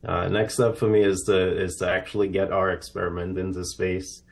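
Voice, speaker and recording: Low, gravelly man from Trinidad. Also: level tone, normal speed. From Trinidad and Tobago. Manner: earnest, reflective, many fillers. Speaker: aerospace professional guest. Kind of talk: live TV interview answers.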